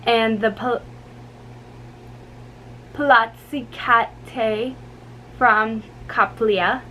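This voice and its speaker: A woman giving a dramatic amateur book reading, halting and stumbling over difficult names. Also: fairly high pitch, steady tone, sharp tone. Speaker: amateur reader. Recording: faint background noise.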